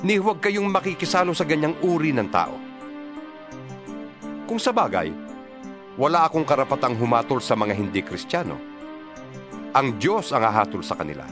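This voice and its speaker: Male scripture reader, fairly high voice, earnest and exhorting, music bed underneath. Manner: earnest, preaching, rhetorical questions. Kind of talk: dramatized scripture reading. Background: background music.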